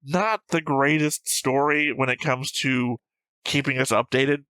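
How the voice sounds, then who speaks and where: A man's voice: mid-range voice, steady tone, unhurried, fairly bright tone, clear, resonant tone, no echo, rough recording. A man giving casual, analytical reaction commentary as a show host, picking at details. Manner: analytical, nitpicking.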